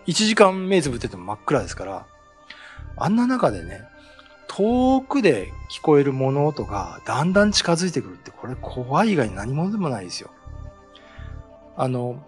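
Casting: middle-aged male host telling eerie anecdotes, lively pitch, close desk mic in a small studio. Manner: animated storytelling, acts out sounds. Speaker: host and ghost-story writer. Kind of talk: casual talk explaining folklore. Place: small studio set with bookshelf backdrop, indoors. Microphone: desk mic on a stand.

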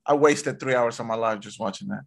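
A man's voice: mid-range voice, brisk, creaky. A man in his thirties co-hosting a fan podcast over a video call, talking loosely and animatedly. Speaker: podcast co-host. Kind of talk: casual sports chat. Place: home room, video call.

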